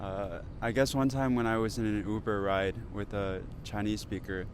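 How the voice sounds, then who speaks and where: Low-voiced young American man, noisy street. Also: steady tone, normal speed. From United States. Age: 20s. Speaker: student interviewee. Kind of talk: casual street interview. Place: outdoors on a university campus. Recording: outdoor street with clear traffic noise.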